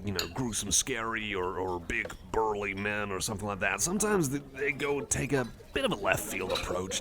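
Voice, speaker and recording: Low-voiced rambling man over cafe chatter. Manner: hesitant, meandering, geeky enthusiasm for details. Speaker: ASMR roleplay performer. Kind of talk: rambling casual chat to the listener. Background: cafe crowd ambience.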